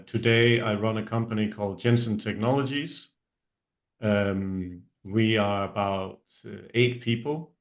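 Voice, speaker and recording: A middle-aged Danish man, not speaking his first language, introduces himself and his web agency on a virtual meetup call, with many ums and restarts. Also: lowish voice. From Denmark. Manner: halting, many ums and restarts, trailing off quietly. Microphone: video call.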